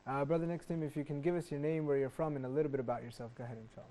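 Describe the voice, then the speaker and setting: A man's voice: fairly high pitch, fairly even intonation, mellow. A man hosting a studio panel discussion, welcoming guests and moving the talk along. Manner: brisk, welcoming, fillers like "uh". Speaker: talk show host.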